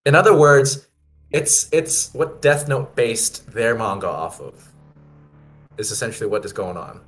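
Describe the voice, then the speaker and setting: A man in an ordinary middle register chats after a game session, wandering through his thoughts with restarts and constant fillers. Faint music plays quietly behind him. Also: mid-range voice, very animated. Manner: rambling, halting, many fillers. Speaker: player. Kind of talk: casual post-game chat.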